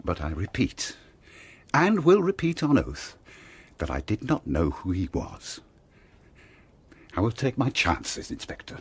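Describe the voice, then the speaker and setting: An actor playing a bitter, anguished doctor and father in a radio drama, speaking in measured, formal, emphatic phrases. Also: on the low side, wildly swooping pitch, breathy edge, full character voice. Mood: resolute.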